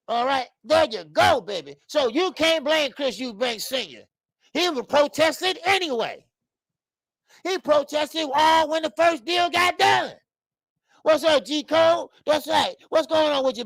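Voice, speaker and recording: A man in his fifties hosting a live boxing talk stream, loud and profane, rambling and greeting viewers by name. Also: extremely high pitch, creaky, dry recording. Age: 50s. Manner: frequent laughs and stretches of filler. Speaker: boxing show host. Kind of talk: live-stream commentary chat.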